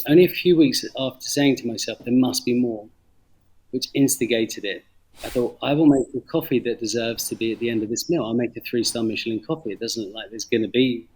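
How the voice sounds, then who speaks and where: A middle-aged man with a London English accent tells his own story reflectively in an interview, halting and repeating phrases for emphasis. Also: medium pitch, a little mellow, breathy edge, poor audio. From London, England. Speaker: interview guest, former banker turned coffee farmer. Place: remote video call from Indonesia.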